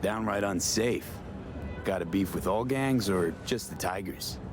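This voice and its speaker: A man's voice: low voice, unhurried, music in the background. An actor playing a streetwise male investigator in a video game, dry and terse. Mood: sardonic. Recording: voice under loud street and vehicle noise.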